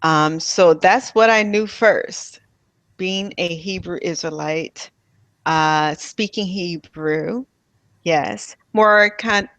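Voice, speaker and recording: A woman from Miami being interviewed about her childhood, speaking softly and thoughtfully with pauses and light laughs. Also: on the low side, dry recording. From Miami, USA. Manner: soft-spoken, thoughtful, with pauses and fillers. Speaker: interviewee, spiritual healer. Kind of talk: reflective personal interview.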